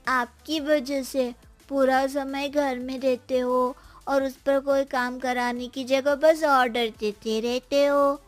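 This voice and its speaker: A woman playing a cheeky, wisecracking young schoolboy with a deadpan way of talking back, in a comic audio drama. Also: high-pitched, takes it slowly, soft background music. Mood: matter-of-fact.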